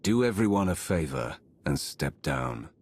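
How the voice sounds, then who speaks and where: An actor playing a commanding Sith lord in a video game, gravelly, terse and authoritative, a stern but supportive superior. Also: male voice, very deep voice, sing-song, unhurried, a little mellow, exaggerated voice. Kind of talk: dramatic video game cutscene acting.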